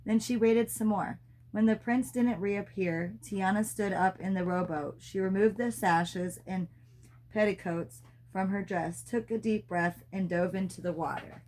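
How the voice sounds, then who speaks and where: A woman reads a children's story aloud in a medium-pitched voice. She narrates expressively, like a mother sharing a book with her child. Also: steady tone, unhurried, darkish tone, a little hiss or hum, rough recording.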